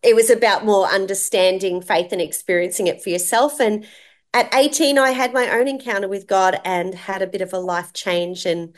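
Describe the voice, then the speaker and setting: A woman in her fifties with an Australian accent, a guest telling her life story warmly and earnestly. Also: medium pitch, fairly even intonation, moderate pace. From Melbourne, Australia. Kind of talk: interview, personal story.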